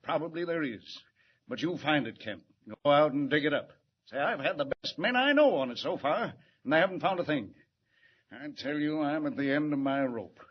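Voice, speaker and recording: An actor playing an anxious, gruff middle-aged male police commissioner in 1940s radio acting. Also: a little high, lively intonation, normal speed. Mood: worried. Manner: gruff, worried, urgent. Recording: muffled vintage radio broadcast.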